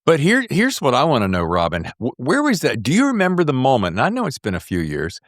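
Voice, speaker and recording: A man's voice: mid-range voice, wildly swooping pitch, fast, dry recording, studio quality. A man in his sixties hosting a podcast, asking questions in a casual, enthusiastic, dramatizing way. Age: 60s. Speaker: podcast host. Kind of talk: casual, animated interviewing.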